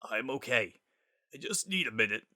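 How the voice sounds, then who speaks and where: A man acting Sans, emotionally raw wisecracker, bright voice. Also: medium pitch, animated, moderate pace, dry recording, studio quality. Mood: weary, subdued. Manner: slangy, informal, emotionally raw. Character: Sans, a wisecracking man pleading desperately, worn down by grief.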